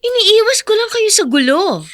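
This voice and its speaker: High, bright, sassy young woman. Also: sing-song, normal speed, full character voice. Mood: chiding. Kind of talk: radio drama acting.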